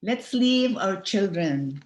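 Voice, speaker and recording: A woman giving a formal, measured conference slide presentation. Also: medium pitch, lively intonation, a little hiss or hum. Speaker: presenter from an environmental organization. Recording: echoey room sound.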